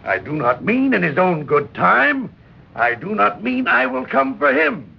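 An actor playing a hard, domineering wartime ship's captain, barking curt, sarcastic orders in an old radio drama. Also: male voice, high voice, sing-song, measured pace, vocal fry, husky, heavily acted. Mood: stern, scornful. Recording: muffled, noisy old radio recording.

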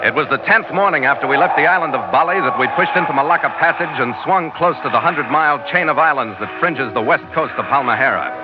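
A man's voice: fairly high pitch, fast talker, few pauses, lightly acted. Clipped, hardboiled sea captain played by a man, old radio. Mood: grim narration. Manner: clipped, terse, hardboiled delivery. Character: brisk, tough sea captain and first-person narrator. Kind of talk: 1940s radio adventure acting, scripted log narration. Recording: dull vintage radio recording, loud music behind.

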